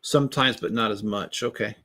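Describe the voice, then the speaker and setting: A man's voice: on the low side, a little fast, pushed and bright. A middle-aged man hosting a casual livestream, chatting with viewers in a rambling, self-deprecating, joking way. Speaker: Lego building livestream host. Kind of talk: casual chat with live viewers.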